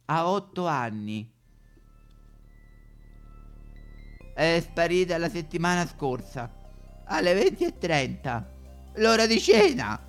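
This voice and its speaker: A male performer plays a pampered, hungry stray dog who resents his lot. He grumbles theatrically with a Roman accent, his fairly high voice leaping around in pitch as he complains in this satirical monologue. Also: sing-song, unhurried, faint music behind. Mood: pleading, mournful. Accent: Roman Italian. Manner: grumbling, colloquial, exaggerated complaining.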